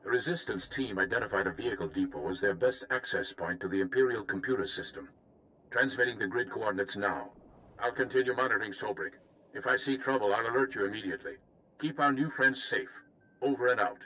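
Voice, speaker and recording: Low-voiced, seasoned older strategist. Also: male voice, pushed and bright, poor audio. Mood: brisk. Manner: measured, formal. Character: calm, seasoned older male Jedi strategist. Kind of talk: video game cutscene acting.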